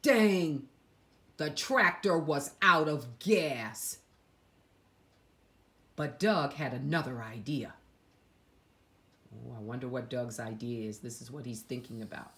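A woman reading a picture book aloud to young children, with dramatic emphasis and hushed asides to her listeners. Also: very deep voice, takes it slowly, pauses often. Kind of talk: expressive read-aloud storytelling for young children.

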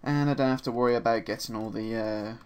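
A man with a gravelly, slightly hoarse voice talks through a screen-recorded lesson at his desk. He is relaxed and chatty, filling pauses with ums and uhs as he explains each step. His voice sits at an ordinary middle pitch. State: slightly hoarse from a sore throat. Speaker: 3D art instructor. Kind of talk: screen-recorded software tutorial narration.

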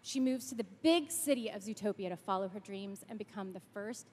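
A woman's voice: mid-range voice, fairly quick. Gushing woman guest on an arena stage. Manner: gushing, excited. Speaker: actress and guest. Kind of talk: stage interview. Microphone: handheld. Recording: arena sound with long reverb.

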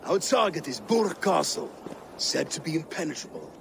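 A man playing a grave, loyal middle-aged Viking war captain in a video game, gravelly and measured. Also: a little high, lively intonation, background noise. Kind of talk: dramatic video game cutscene acting.

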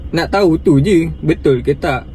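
A man's voice: fairly high pitch, normal speed, a little mellow. A man in his twenties with a Malaysian accent, chatting casually and pressing with questions inside a car. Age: 20s. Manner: insistent, questioning. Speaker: prank caller. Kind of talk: casual phone chat.

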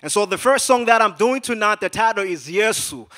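Young Malawian man, bright high voice. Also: a little fast. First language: Chichewa. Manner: call-and-response shouting, then relaxed joking talk. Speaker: rapper, student performer. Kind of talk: hyping a crowd and introducing songs. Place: large auditorium stage. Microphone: handheld mic.

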